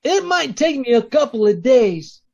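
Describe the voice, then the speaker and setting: A man's voice: extremely high pitch, very animated, normal speed, gravelly, a little mellow, exaggerated voice. An American man playing a cocky small-time fur thief with slangy tough talk in 1940s radio crime style. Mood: cocky, brusque. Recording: dull, lo-fi video-call sound.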